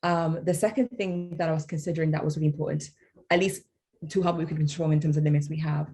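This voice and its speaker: Low mellow young woman, hesitant. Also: fairly even intonation, quick pace, breathy edge. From Tanzania. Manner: hesitant, many ums. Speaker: young leader panelist. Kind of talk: casual discussion.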